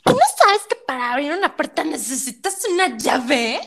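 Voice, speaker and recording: A woman with a Mexican accent, co-hosting a casual chat about childhood cartoons, lively and quick to laugh. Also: high-pitched, vocal fry, heavy background noise. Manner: lively, laughs, slips into impressions. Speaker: podcast co-host.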